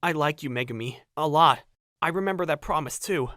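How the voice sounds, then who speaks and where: A woman voicing an earnest, protective young male baker in a manga dub, sincere and steady. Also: low-pitched, a little fast, dry recording. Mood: tender, earnest. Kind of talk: manga dub dialogue and narration.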